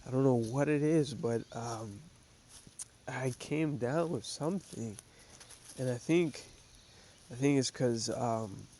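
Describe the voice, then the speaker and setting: A man's voice: medium pitch, slow pace, pauses often, mellow, a little hiss or hum. A man hosting a trading show, a little stuffy from a cold, talking loosely with many fillers and restarts. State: stuffy, congested. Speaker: podcast host. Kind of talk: casual trading commentary.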